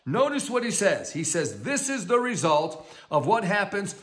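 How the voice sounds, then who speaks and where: A male preacher teaching the Bible outdoors in a valley meadow, with an enthusiastic, animated delivery, a loud voice, and frequent laughter. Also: high-pitched, moderate pace. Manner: self-described loud voice.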